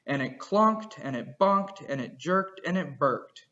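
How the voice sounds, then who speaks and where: A man reading a children's rhyming picture book aloud, with an expressive, bouncy storytelling rhythm. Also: fairly high pitch, unhurried, dull audio.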